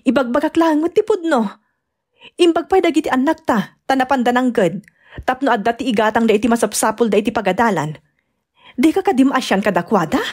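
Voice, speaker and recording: A woman acts out a long-suffering Ilocano mother in an audio drama. Her voice sits a bit high with a husky edge, and she speaks earnestly, pleading like a devoted wife and parent. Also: animated, brisk, a little mellow. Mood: reproachful. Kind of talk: Ilocano radio-style drama acting.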